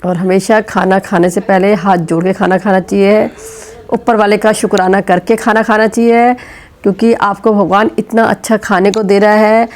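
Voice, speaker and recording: A woman's voice: mid-range voice, steady tone, moderate pace. Feverish woman chatting through kitchen chores. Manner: chatty, explaining chores as she goes. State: sick with fever and sore throat. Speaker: vlogger. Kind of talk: casual home vlog narration. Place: home kitchen.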